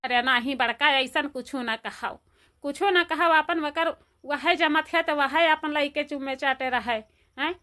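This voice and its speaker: A woman voicing an excitable, complaining, gossipy village woman in a cartoon, exclaiming and repeating herself in an Awadhi accent. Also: sits high, a little slow. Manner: repetitive, exclamatory. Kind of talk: amateur cartoon voice acting.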